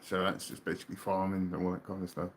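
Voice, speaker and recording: A British man chats loosely with viewers from a room at home, answering questions as they come in. His talk is relaxed and rambling, and his voice often sinks to a low mumble as a thought winds down. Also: fast, slight background noise. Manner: relaxed, chatty, trails off into quiet mumbles. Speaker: livestream host. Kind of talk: casual live chat reading viewer comments.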